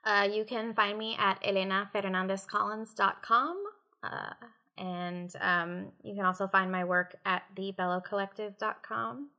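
A woman with a very bright, mid-pitched voice. As a panelist she speaks in an informative, precise way, carefully laying out details. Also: steady tone, measured pace. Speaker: panelist, critic.

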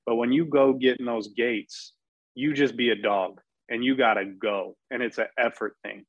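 A man's voice: medium pitch, a bit bright, dry recording. Male strength coach, panel guest. Emphatic and fired up. Muffled, dead-dry recording. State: losing his voice. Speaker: performance coach. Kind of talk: panel discussion. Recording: dull, dry lo-fi audio.

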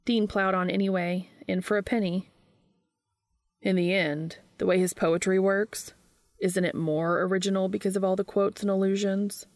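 Woman reading a story out loud, lowish creaky voice, really dry sound. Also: steady tone, normal speed, dry recording. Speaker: audiobook reader of fan fiction. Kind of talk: scripted narration of a story.

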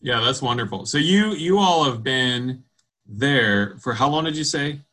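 Male host, bright and friendly, casual pauses and restarts. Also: medium pitch, expressive. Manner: friendly, halting with fillers. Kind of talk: video call interview.

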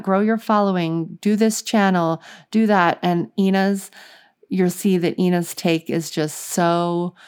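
A middle-aged woman hosts a podcast interview and introduces her guest with gushing enthusiasm. Her voice sits a little low for a woman. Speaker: podcast host.

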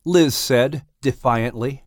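A male narrator reads a dramatic animal tale for an audiobook. His pace is slow and measured, and the recording is dry and close, with little room around the voice. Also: mid-range voice, no echo. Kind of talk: scripted dramatic storytelling narration.

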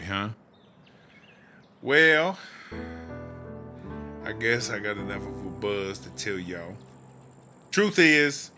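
A man's voice: lowish voice, sharp tone. A man playing a tipsy, streetwise woman prisoner in an audio drama, telling stories in a slangy drawl. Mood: boozy, relaxed, then wistful, reminiscing. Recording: music playing behind the voice. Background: music.

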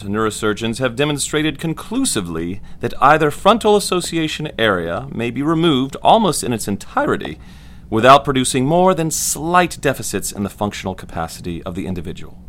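A man playing a confident 1940s lobotomist, giving a formal, measured and detached medical lecture with an authoritative manner. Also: mid-range voice, a bit bright. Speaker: actor playing a lecturing doctor.

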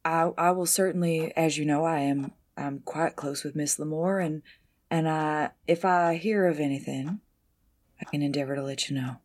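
A woman's voice: low voice, steady tone, moderate pace, a little mellow. A woman playing a deferential, guarded stunt driver in an audio drama, speaking politely with folksy, careful hesitations. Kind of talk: 1920s-set audio drama roleplay.

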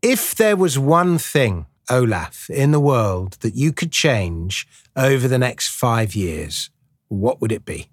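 A man hosting a podcast interview, joking and wrapping up the show smoothly. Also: medium pitch, very animated, slow pace, no echo, studio quality. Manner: jokey, polished, wraps up smoothly. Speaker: podcast host.

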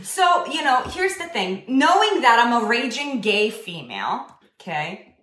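A woman's voice: on the high side, big pitch swings, a little slow, a bit bright. A young woman from Israel speaking casually and emphatically to a group, posing questions to her listeners in a conversational way. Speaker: speaker leading a community discussion. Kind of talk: casual motivational talk to a group. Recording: echoey room.

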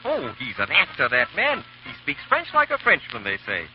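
An actor playing an excitable, gossiping English society gentleman in a 1930s radio drama. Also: medium pitch, moderate pace. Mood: awed gossip. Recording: muffled 1930s radio recording, music behind.

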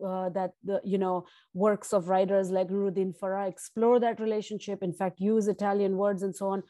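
Podcast host, woman, hesitant questioner, middle pitch, dry close sound. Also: dark tone, no room sound. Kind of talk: podcast interview.

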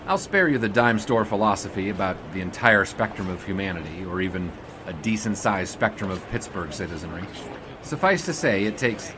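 A man's voice: lowish voice, animated, fairly quick, background noise, close to an everyday voice. A man playing a wry, erudite sports reporter in a 1920s audio drama, speaking with dry, wordy sarcasm. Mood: world-weary.

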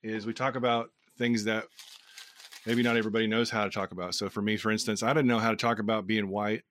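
A man's voice: fairly low pitch, little pitch movement, fast, fairly bright tone, a little hiss or hum. A man hosting a casual podcast interview in an informal, effusive, rambling way. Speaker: podcast host.

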